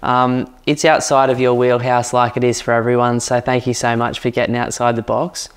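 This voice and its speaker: A man's voice: mid-range voice, level tone, moderate pace. An Australian man hosting a property podcast, talking in an enthusiastic, chatty way with plenty of fillers and a light, youthful voice. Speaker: podcast host. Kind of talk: casual podcast chat.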